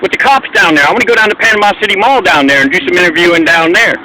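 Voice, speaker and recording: A man's voice: high voice, racing, a crowd in the background. An American man arguing persistently in casual street talk, repeating his questions and phrases. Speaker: street interviewer and activist. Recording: noisy outdoor recording with traffic.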